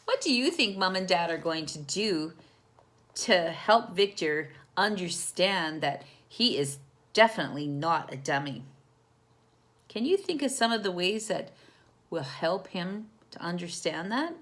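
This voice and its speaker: A woman teacher reads a picture book aloud to young children. Her voice sits a little low and moves at a very slow pace, with big swings in pitch. She speaks to the children directly, encouraging them and putting questions to them. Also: expressive, a bit bright.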